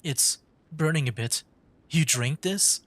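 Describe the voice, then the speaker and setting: A man's voice: fairly high pitch, slow, fairly bright tone, a little hiss or hum. A man livestreaming a game with casual, laid-back commentary, thinking aloud as he plays. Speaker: game streamer. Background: game music.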